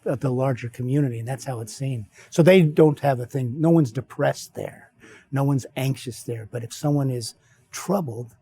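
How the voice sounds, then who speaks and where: A man on a roundtable panel shares an anecdote in a husky, mellow voice. His delivery is relaxed and conversational, with the easy rhythm of storytelling. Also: mid-range voice. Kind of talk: roundtable discussion.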